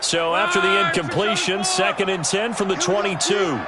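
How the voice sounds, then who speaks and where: A man's voice: sits high, normal speed, over a noisy crowd, natural voice. An American man giving play-by-play commentary for a football video game in a projected announcer's delivery. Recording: loud noise behind the voice.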